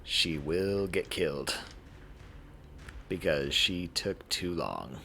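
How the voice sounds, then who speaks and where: A man's voice: sits low, lively intonation, takes it slowly, sharp tone. A man narrating a visual novel aloud as a streamer, stumbling over words and rereading them. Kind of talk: live read-aloud narration of game text. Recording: clear background noise.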